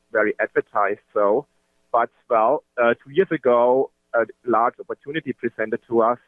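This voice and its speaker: A man presenting a business webinar in a halting, semi-scripted way, often breaking his sentences with small interjections and posing questions to himself. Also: mid-range voice, no echo. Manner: halting, with frequent interjected "well" and self-questions. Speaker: webinar presenter from a call analytics company. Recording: narrow-band, phone-like dry line.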